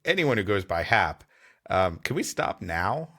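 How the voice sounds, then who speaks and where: A man's voice: low voice, sing-song, measured pace, a bit bright. A man co-hosting a podcast, reading a story aloud with dry, wry joking asides. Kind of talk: casual banter mixed with story reading.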